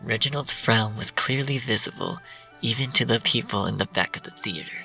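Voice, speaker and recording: A man reading dark fan fiction aloud in a hushed, half-whispered narration. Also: on the low side, moderate pace, gravelly. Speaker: story reader. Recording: distant mic with faint music.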